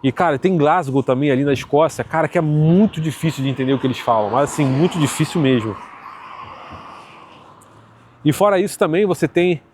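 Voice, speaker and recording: A man's voice: a little high, fast talker, hardly any pauses, a little hiss or hum. A man with a Carioca accent from Rio de Janeiro, talking casually and chatting to his viewers with lots of fillers. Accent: Carioca Brazilian Portuguese. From Rio de Janeiro, Brazil. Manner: relaxed, chatty, many fillers and tag questions. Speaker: vlogger. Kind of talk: casual vlog monologue.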